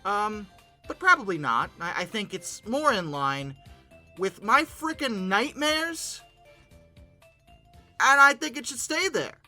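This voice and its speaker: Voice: mid-range voice, bright, forceful tone. A host giving comedic commentary on pictures in informal, slangy talk, with exasperated, dramatic emphasis. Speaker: host of a paleoart commentary show.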